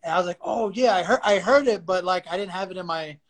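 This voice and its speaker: A man with a notably high voice hosts a weekly podcast over a livestream. He keeps the talk relaxed and friendly as he leads the conversation with his guest. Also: fast talker. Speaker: podcast host. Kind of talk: casual chat.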